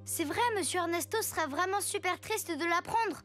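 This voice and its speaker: A woman's voice: very high voice, moderate pace. A woman voicing a principled, take-charge young superhero girl in a French children's cartoon, speaking earnestly and emphatically. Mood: concerned. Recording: loud music behind the voice.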